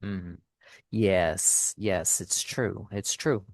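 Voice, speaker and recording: A man hosting a casual interview as an English teacher, speaking in a halting, deliberate way and checking in as he goes. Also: low voice, steady tone, creaky, dry recording, clean studio sound.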